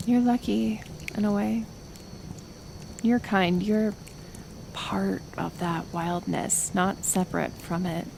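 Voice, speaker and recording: A woman plays a caring forest healer in an intimate ASMR roleplay drama. She talks slowly and hesitantly, with a creaky edge to her gentle voice, and stays stubbornly kind. Clear ambient noise sits behind her. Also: medium pitch, steady tone. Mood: reflective. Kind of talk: soft-spoken ASMR roleplay acting.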